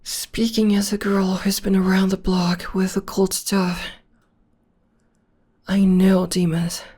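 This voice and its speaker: Soothing young witch girlfriend, voiced by a woman, breathy slow half-whisper, close mic ASMR. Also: mid-range voice, little pitch movement. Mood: tender, reassuring. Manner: soft half-whispered comforting. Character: gentle young witch girlfriend, devoted, reassuring. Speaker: voice actor. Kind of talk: ASMR audio roleplay.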